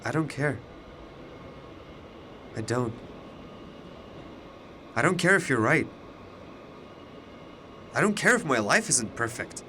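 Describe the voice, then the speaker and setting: A man's voice: medium pitch, very animated, takes it slowly, long pauses, sharp tone, a little hiss or hum, heavily acted. An actor in a radio drama playing an anxious but defiant young male university student, questioning with earnest emotion. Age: young adult.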